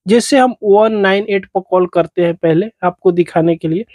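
A man's voice: high-pitched, moderate pace, a little mellow, dry recording. A man narrates a step-by-step phone tutorial as its host, in repetitive, instructional phrasing.

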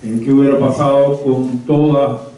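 A man's voice: medium pitch, little pitch movement, normal speed, warm tone, distant mic. Muffled, echoey elderly Cuban man. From Havana, Cuba. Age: 70s or older. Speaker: conference speaker. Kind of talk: personal reminiscence told to a small audience. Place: indoor conference room. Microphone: handheld mic, recorded from a distant camera. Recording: very echoey, muffled room from afar.

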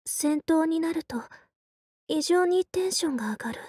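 An actress playing a quiet, reserved young female soldier in a game, soft-spoken and deadpan, speaking in short halting phrases. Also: very high voice, no room sound, studio quality. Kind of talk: Japanese game character voice lines.

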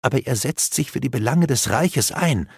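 A man's voice: mid-range voice, lively intonation, moderate pace, creaky, slightly rough, no echo, studio quality. A man voicing a determined young elf woman who speaks firmly and hisses her objections. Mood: reasoning. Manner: firm and hissing.

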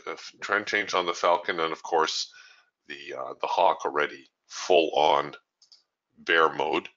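A man hosting a trading training room, thinking aloud in a relaxed way as he walks through live trades. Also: sits low, highs cut off. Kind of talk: live trading commentary over screen share.

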